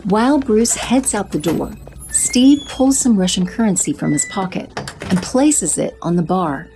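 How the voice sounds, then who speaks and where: A woman narrating an audio drama in a steady, descriptive way. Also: mid-range voice, a little slow, a little hiss or hum, poor audio. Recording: music bed under narration.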